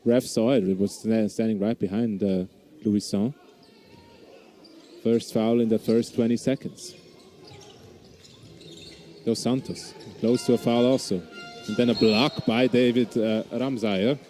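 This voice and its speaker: A man's voice: on the low side, steady tone, unhurried, pauses often, dark tone, faint music behind. A man with a Swiss German accent, a non-native English speaker, giving live basketball play-by-play with frequent hesitations and restarts. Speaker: basketball commentator.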